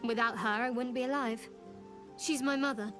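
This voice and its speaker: Woman acting guarded, devout young cleric, fairly high-voiced. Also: steady tone, moderate pace, fairly bright tone, soft background music, natural voice. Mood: devout, defensive. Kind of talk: video game character dialogue.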